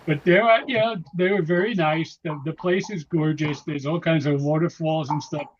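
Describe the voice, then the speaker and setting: Male club member, ill and on the mend; jokey and stumbling; muffled video-call audio, slightly high voice. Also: fairly even intonation, normal speed, slight background noise. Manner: joking, stumbling restarts. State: recovering from illness. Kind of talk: casual chat.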